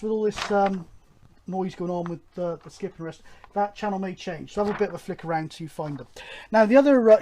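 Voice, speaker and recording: A man presenting a hobby show, talking casually and in a halting, rambling, informal way to viewers. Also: sits high, a little mellow, a little hiss or hum. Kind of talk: casual update and announcements to viewers.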